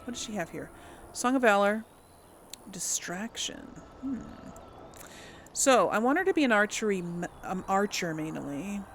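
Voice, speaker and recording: A woman's voice: mid-range voice, lively intonation, unhurried, a bit bright, slight background noise. A woman giving casual let's play commentary, chatting and thinking aloud as she goes. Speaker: gaming channel host.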